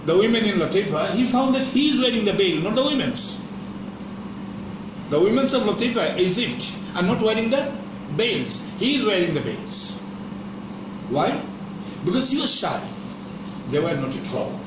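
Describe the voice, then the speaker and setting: A man gives a slow, measured lecture, repeating himself and restarting phrases as he goes. His voice sits a little high and comes from across the room, thin and distant. An air conditioner hums under an echoey classroom sound. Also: very animated, noisy background. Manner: measured, repetitive, stops and restarts. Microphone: far room microphone.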